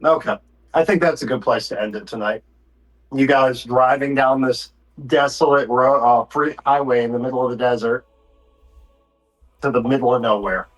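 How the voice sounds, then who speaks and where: A man's voice: mid-range voice, steady tone, normal speed, rough recording. A man casually talking as game master of a tabletop horror role-playing game.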